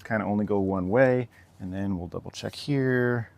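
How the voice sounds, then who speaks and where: Low-voiced man, slightly gravelly, casual reviewer. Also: normal speed, darkish tone, a little hiss or hum. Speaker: product reviewer.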